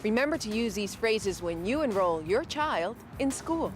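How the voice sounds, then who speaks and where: A woman hosting a language lesson in careful, slow, teacherly scripted narration. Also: medium pitch, expressive. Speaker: lesson host. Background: music.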